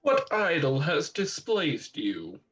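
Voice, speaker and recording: A man's voice: on the high side, wildly swooping pitch, takes it slowly, a bit bright, faint music behind, exaggerated voice. A man playing a cold, indignant young fiancé defending himself curtly in a radio play. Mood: defensive, curt. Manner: curt, indignant.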